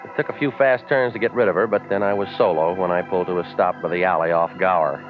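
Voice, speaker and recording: Guy playing a hardboiled radio detective, deep voice, dry wisecracks, muffled old 40s broadcast. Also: quick pace, over music, close to an everyday voice. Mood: deadpan narrating. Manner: deadpan, sardonic, terse. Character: hard-boiled, wisecracking private eye. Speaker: narrating detective lead. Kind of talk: 1940s radio acting, noir narration. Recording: muffled vintage radio recording.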